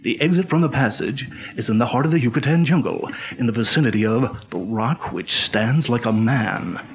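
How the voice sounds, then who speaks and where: A calm detective chief who lays out clues in a steady, commanding voice, played by a man. The voice sits at an ordinary mid pitch. The sound is dull and lo-fi, like an old radio recording. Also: moderate pace, close to an everyday voice. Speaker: lead detective. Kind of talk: 1940s radio drama acting.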